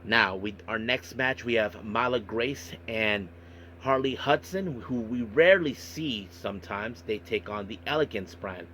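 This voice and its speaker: Deep-voiced man recapping, noisy recording. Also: a little slow, pushed and bright. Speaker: wrestling podcast host. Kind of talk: recapping and commenting on events in a podcast monologue.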